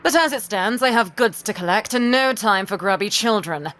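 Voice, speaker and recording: A woman acts as a cold, commanding captain of a ship in an audio drama. Her voice sits at a middle pitch. She speaks curtly and imperiously, with stern authority. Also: animated, normal speed, fairly bright tone, close to an everyday voice. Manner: curt, imperious.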